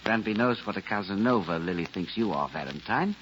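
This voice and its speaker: An actor playing a coolly menacing, sarcastic gangster henchman with a dry, measured manner, in 1940s radio crime drama. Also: sits low, lively intonation, fairly bright tone. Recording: muffled, noisy old radio recording.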